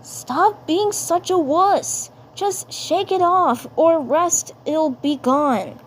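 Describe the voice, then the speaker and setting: A woman's voice: very high voice, extremely slow, pitched above the performer's own voice, exaggerated voice, poor audio. A woman voicing a tough, impatient little superhero girl in an amateur cartoon fandub, brusque and dismissive. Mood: scornful, impatient. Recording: noticeable background noise.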